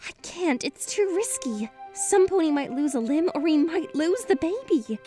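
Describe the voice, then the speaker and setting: A woman voicing a studious, earnest young female unicorn pony in a fan-made cartoon radio play, brisk and take-charge. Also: extremely high pitch, sing-song, music in the background. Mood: urgent.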